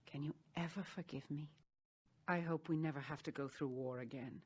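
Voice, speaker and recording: A woman's voice: low-pitched, normal speed, a little hiss or hum, natural voice. A woman voicing a proud, solemn noblewoman in a fantasy video game. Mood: remorseful. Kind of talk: video game voice-over session.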